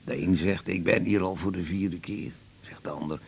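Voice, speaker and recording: An actor playing an older, ailing father in a radio drama, halting and confiding, fearful yet proud, with a gravelly voice that often drops to breathiness. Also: deep voice, steady tone, a little mellow, a little hiss or hum. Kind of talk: naturalistic radio drama acting.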